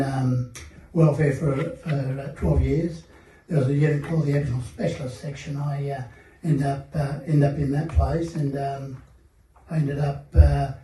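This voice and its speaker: An older Australian man speaking as a panel guest at a seminar, telling his life story in a measured, reflective way with many pauses and fillers. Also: fairly high pitch, warm tone. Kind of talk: personal testimony at a seminar panel. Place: seminar hall. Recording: echoey room, mic at a distance.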